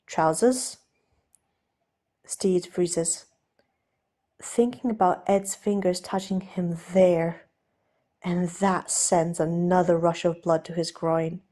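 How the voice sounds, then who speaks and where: A woman's voice: on the low side, steady tone, slow pace, warm tone. A woman reading scripted fiction aloud as an audiobook narrator.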